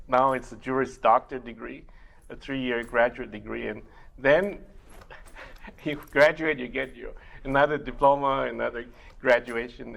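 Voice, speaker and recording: A middle-aged man whose first language is Mandarin Chinese, working as an immigration attorney and answering interview questions in a measured way, with many pauses to think. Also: mid-range voice, creaky, a bit bright. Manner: measured, explains step by step with frequent uh pauses. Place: community TV studio.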